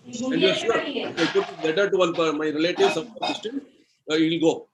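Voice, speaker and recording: Indian man giving a slow devotional lecture. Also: fairly high pitch, animated, very noisy. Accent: Indian English. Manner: slow, explanatory, everyday examples, frequent "you know" and "uh". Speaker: spiritual speaker answering questions.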